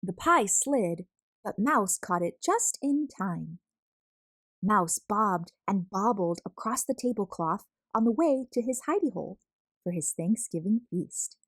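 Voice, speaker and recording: A woman reading a children's picture book aloud as a storyteller, expressive and deliberate, drawing out words for emphasis. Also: mid-range voice, dry recording. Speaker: storyteller, read-aloud host.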